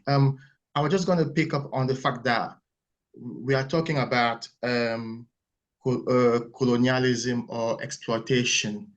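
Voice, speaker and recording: A man from Cameroon making a thoughtful, hesitant point in an online church webinar, often restarting words. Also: medium pitch. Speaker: webinar participant. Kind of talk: online discussion contribution. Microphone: video call.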